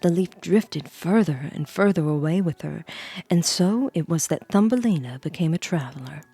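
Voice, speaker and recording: A woman with some vocal fry reads a storybook on a livestream. She is close and dry, with music underneath. She reacts playfully and drops into casual chat. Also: lowish voice, expressive, moderate pace, mellow, no room sound. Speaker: streamer host reading bedtime stories.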